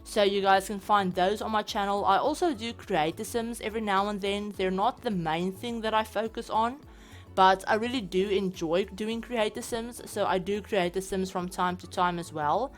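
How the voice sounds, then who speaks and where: A woman's voice: medium pitch, normal speed, faint music behind. Chatty South African woman narrating. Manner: chatty and rambling, many fillers like "like" and "yeah". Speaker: game building channel host. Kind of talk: casual voice-over commentary.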